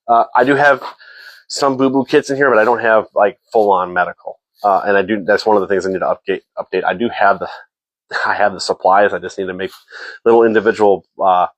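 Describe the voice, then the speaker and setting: A man's voice: on the low side, a bit bright, dry recording, rough recording. A man hosting a casual gear talk, sounding stuffy and a bit nasal, rambling with repeated words and frequent ums. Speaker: host.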